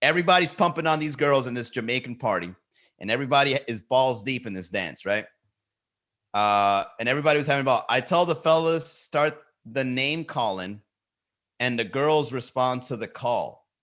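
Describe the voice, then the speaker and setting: A man's voice: mid-range voice, lively intonation, moderate pace, a bit bright. A man hosting a comedy podcast, riffing on song lyrics with swearing and lots of rhetorical questions.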